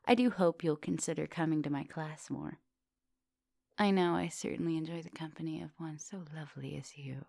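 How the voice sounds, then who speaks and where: A woman playing a seductive, gentle vampire professor in an intimate ASMR roleplay, murmuring and whispering coaxingly up close. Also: deep voice, fairly even intonation, normal speed, no echo. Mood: sated, caring. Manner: breathy murmurs and whispers, coaxing pet names. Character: seductive female vampire professor, hungry yet gentle and polite. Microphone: close microphone.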